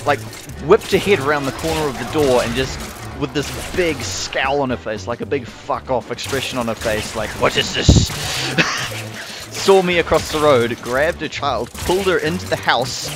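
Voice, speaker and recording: A young man chats casually as he games, telling anecdotes with plenty of swearing. His delivery is animated and he acts out his reactions, with music playing clearly behind him. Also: mid-range voice, moderate pace. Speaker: gaming video host. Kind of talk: casual storytelling over gameplay.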